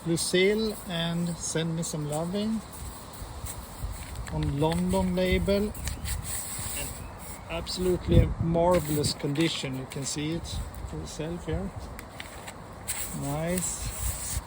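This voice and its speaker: A man casually presenting to viewers as a record collector host, in a halting, unhurried way. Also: a little high, fairly even intonation, noisy background. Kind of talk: casual showcase vlog talk.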